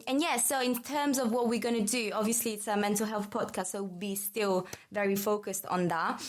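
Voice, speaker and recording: A woman's voice: mid-range voice, steady tone, fairly quick. A young woman with an Italian accent talks in a friendly, chatty way on a podcast, with plenty of fillers. She grew up in Italy and speaks English as a second language, settling easily into casual conversation. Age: 20s. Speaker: college marketing manager.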